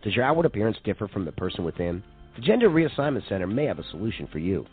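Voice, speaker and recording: Man doing an earnest low-pitched clinic ad announcer. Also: big pitch swings, rapid-fire. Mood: earnest, salesy. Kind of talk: parody radio commercial voice-over. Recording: tinny narrowband audio with music.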